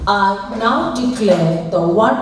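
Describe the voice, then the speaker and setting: Female speaker, formal welcome speech, medium pitch, distant microphone, heavy echo. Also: animated, slow. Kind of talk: formal opening address. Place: school event, public address. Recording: echoey room, far microphone, faint noise.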